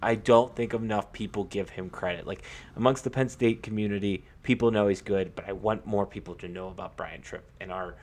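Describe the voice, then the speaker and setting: A man co-hosting a sports podcast, chatting informally and haltingly, often repeating and restarting his phrases. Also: on the low side, steady tone, darkish tone. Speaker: podcast co-host. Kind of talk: casual sports podcast chat.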